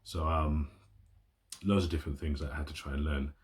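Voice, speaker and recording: Very deep-voiced chatty man vlogging. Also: steady tone, a little fast. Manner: chatty, rambling, enthusiastic. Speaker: YouTuber. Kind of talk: casual vlog talk to camera. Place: indoors at home, at a table. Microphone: phone camera, built-in mic.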